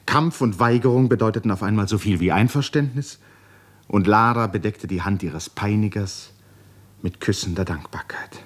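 Deep, husky male radio-play narrator. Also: normal speed. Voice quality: breathy, husky. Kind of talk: literary narration in a 1950s German radio play.

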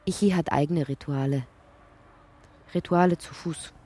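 An actress playing a dreamy literary narrator in an audio drama, musing in repetitive, incantatory poetic fragments. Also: female voice, low voice, fairly even intonation, measured pace, warm tone, slight background noise. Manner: incantatory, repeats phrases.

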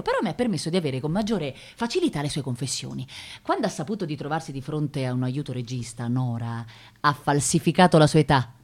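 A wry film assistant director narrating a radio play. Her voice sits a little low, and she sounds condescending, pausing and repeating as she looks for the right word. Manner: hesitant, searching for words, wryly condescending. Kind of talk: theatrical radio drama narration.

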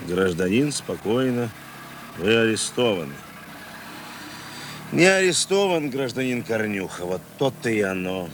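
An actor playing a stern, weary police chief of about forty in a radio play, speaking curtly with dry irony. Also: male voice, lowish voice, sing-song, measured pace, long pauses. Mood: dry, brooding. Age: 40s. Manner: curt, dry, sardonic, commanding. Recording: faint vehicle engine noise behind.

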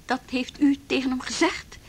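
A woman's voice: high voice, fairly even intonation, normal speed, slight background noise. An actress playing a proud, defiant young policewoman in a Dutch radio play, indignant and pointed yet formally polite.

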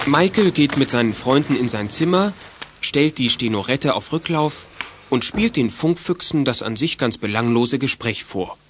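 A man narrating a 1980s children's detective radio drama in a composed, measured storytelling manner. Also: mid-range voice, expressive, noisy background, close to an everyday voice. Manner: measured, clearly scripted storytelling.

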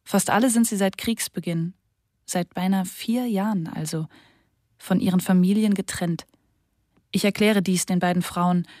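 A woman's voice: mid-range voice, fairly even intonation, moderate pace, darkish tone, no room sound. Sober woman reading a diary, dry studio. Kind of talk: literary diary reading for radio.

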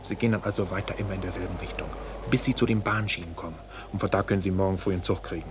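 An actor playing a gruff, bossy border policeman in a 1940s German radio play, curt, pedantic and blustering. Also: sits low, fairly even intonation, rapid-fire, lightly acted. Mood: brusque. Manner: curt, blustering, stammers when challenged. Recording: old radio recording with background noise.

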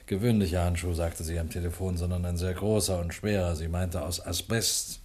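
A man's voice: low-pitched, fairly even intonation, moderate pace, a little mellow, husky. A man acting as a world-weary police inspector in a German radio mystery, reporting in a dry, matter-of-fact way. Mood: puzzled, uneasy.

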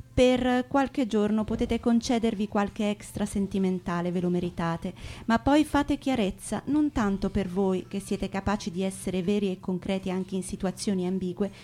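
A woman with a gentle voice reading a scripted horoscope as a radio astrologer. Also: mid-range voice.

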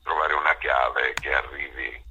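A man with a raspy voice, speaking earnestly and haltingly in a conversational interview. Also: a bass voice, steady tone, sharp tone, highs cut off, a little hiss or hum. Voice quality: gravelly, raspy. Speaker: interviewed stage actor and director.

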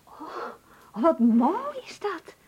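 A curious young believer in a radio drama. Her voice is very high, with a polite, questioning innocence. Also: big pitch swings, extremely slow, darkish tone, muffled recording, heavily acted. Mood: admiring. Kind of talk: Dutch radio play dialogue.

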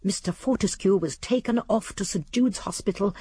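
An older woman playing a shrewd, prim elderly English spinster sleuth, narrating a radio drama in precise, husky tones. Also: mid-range voice, moderate pace, mellow, no room sound, studio quality. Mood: prim, gossipy.

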